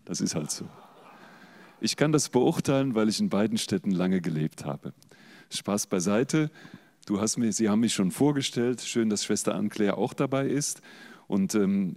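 A man's voice: fairly low pitch, a little mellow. A man who is an auxiliary bishop gives a public lecture to an audience in a hall, in a chatty, joking tone. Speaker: auxiliary bishop giving a talk.

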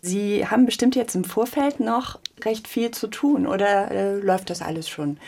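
A woman radio host interviewing guests and moderating the program with polite, measured questions. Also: medium pitch, dark tone.

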